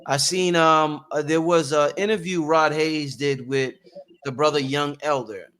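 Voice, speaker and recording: A man with a notably high-pitched voice hosts a relaxed talk show. He asks loose, rambling questions peppered with slang and ums, sounding like he is in his forties. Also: unhurried. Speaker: host. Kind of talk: casual podcast chat.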